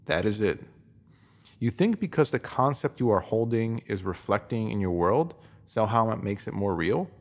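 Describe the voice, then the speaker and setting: A man narrating a scripted self-help text in an instructive, measured way, posing emphatic rhetorical questions. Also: fairly low pitch. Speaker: narrator reading a written post.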